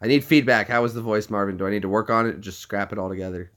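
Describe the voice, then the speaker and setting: A man's voice: on the low side, steady tone, quick pace, a bit bright. A man from Tennessee with a neutral American accent, chatting casually to camera. He is jokey and explains things step by step. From Tennessee, United States. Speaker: vlogger.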